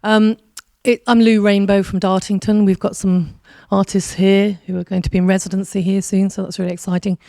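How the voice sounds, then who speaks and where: A woman in the audience asking a long, meandering question into a handheld mic. Her voice is dry and close, and she hesitates and lets her phrases trail off quietly. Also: mid-range voice, little pitch movement, a little fast, vocal fry, dry recording. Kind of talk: question from the floor.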